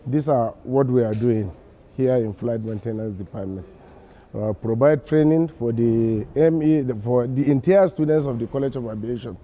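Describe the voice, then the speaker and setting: A man's voice: mid-range voice, moderate pace, dark tone. A man with a Nigerian accent explaining his work as a maintenance instructor in an unscripted interview, on a dull recording. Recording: dull, band-limited sound.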